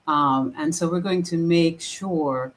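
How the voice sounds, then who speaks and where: Low-voiced woman minister. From Haiti. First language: French. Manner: earnest, halting with fillers and restarts. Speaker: guest minister. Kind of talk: casual interview chat.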